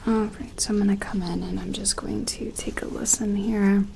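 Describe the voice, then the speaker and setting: A woman doing a gentle ASMR roleplay as a careful scientist examining an alien, speaking softly and often slipping into a whisper, hesitant and reassuring. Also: medium pitch, little pitch movement, moderate pace, slight background noise. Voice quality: soft, breathy, often dropping to a whisper.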